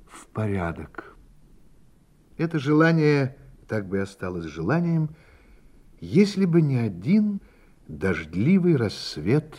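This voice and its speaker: An actor narrating a radio play as a man looking back on his life, in a slow, reflective manner that falls to a hush at the ends of phrases. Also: on the high side, exaggerated voice. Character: adult man recalling his youth, reflective and grave. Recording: studio narration over music. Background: music score.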